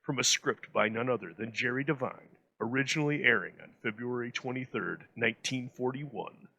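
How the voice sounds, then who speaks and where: A man reading a scripted dramatic introduction in a deliberate, portentous manner. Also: mid-range voice, gravelly, sharp tone, lo-fi sound. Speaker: presenter.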